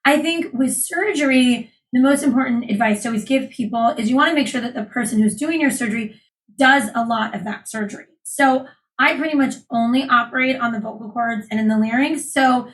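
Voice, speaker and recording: A woman surgeon, calmly explaining voice care as an interview guest. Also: fairly high pitch, a little fast, rough recording. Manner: clear, explanatory, calm. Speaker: laryngologist guest. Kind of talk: interview, explaining medical topics.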